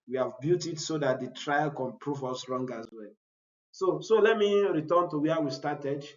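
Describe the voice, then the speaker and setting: A man's voice: on the high side, normal speed. A man, a Yoruba speaker, presenting a research paper and answering his own rhetorical questions. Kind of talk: academic conference presentation. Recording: roomy, dull-sounding audio.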